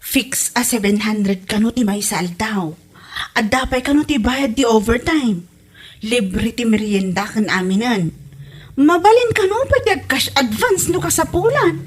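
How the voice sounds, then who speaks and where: A woman's voice: on the high side, animated, a little slow, breathy edge. A woman with an Ilocano Filipino accent acting an eager, chatty village wife looking for work in a comedy audio drama.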